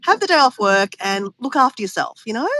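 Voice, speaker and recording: A woman's voice: on the high side, sing-song, brisk, a bit bright, slight background noise. A woman chatting as a guest on a video call, a bit stuffy and sniffly, rambling with restarts. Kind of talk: casual chat on a video call.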